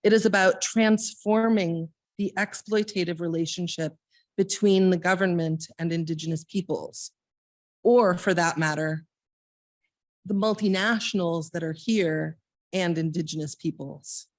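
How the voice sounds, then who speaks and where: A woman leading a church service, reading aloud and introducing speakers in a measured, deliberate way. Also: lowish voice, steady tone, no room sound. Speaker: service leader.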